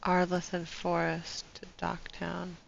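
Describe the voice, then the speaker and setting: A woman's voice: fairly low pitch, completely flat delivery, slow, gravelly, poor audio. A woman giving casual live gameplay commentary, thinking aloud in a chatty, playful way with bits of slang. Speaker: game streamer. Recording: faint noise and game ambience behind.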